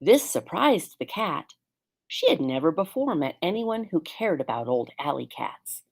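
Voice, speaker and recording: A woman's voice: low-pitched, very animated, measured pace, gravelly, darkish tone, breathy edge. A woman reading a children's storybook aloud as a teacher does for pupils.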